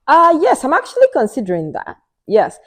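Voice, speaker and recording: A woman's voice: high-pitched, huge pitch swings, a little fast. Earnest Nigerian woman giving advice. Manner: earnest, advising, repeats and finishes the other's phrases. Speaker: podcast co-host. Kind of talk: casual chat.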